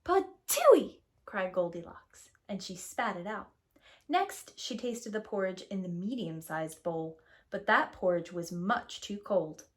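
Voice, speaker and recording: A woman reading a storybook aloud to young children as a preschool teacher, with expressive, emphatic storytelling. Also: medium pitch.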